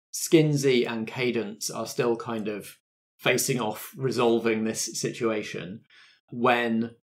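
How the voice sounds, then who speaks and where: A man acting as game master, narrating a tabletop roleplaying game and talking things through with the players. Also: medium pitch.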